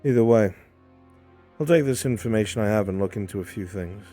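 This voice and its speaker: Shrewd fantasy informant, played by a man in live roleplay: formal, deliberate, dark warm tone, music underneath. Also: fairly low pitch, natural voice. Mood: measured, businesslike. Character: measured, shrewd male informant in fantasy court intrigue. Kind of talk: improvised fantasy roleplay dialogue. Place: online VR voice chat.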